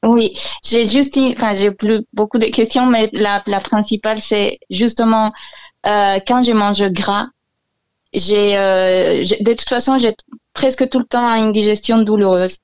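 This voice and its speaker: A woman's voice: mid-range voice, steady tone, clean tone, no echo. A Colombian woman with a Spanish accent, native Spanish speaker, calling in and describing her problem hesitantly. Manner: hesitant, halting. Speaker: caller.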